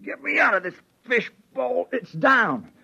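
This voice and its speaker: An actor playing an excitable space crewman in a 1950s radio drama. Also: very high voice, measured pace, vocal fry, exaggerated voice. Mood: excited. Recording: muffled, faintly noisy 1950s radio recording.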